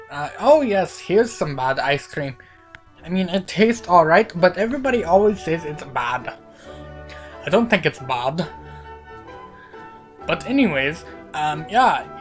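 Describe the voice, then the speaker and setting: A woman with a cold, her voice cracking, giving playful, rambling commentary over a video game with lots of fillers. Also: medium pitch. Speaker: gaming channel host.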